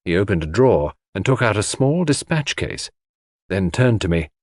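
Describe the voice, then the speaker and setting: A man's voice: on the low side, sing-song, normal speed, no echo, clean studio sound. A man reading scripted literary narration as an audiobook narrator.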